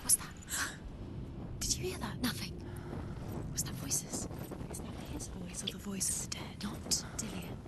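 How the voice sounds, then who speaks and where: An actress voicing an anxious female voice inside a warrior's head in a video game, breathy, hushed and urgent. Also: mid-range voice, lively intonation, a little slow, long pauses, close to an everyday voice. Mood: anxious wondering. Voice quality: whispery, breathy. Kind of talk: dramatic video game acting.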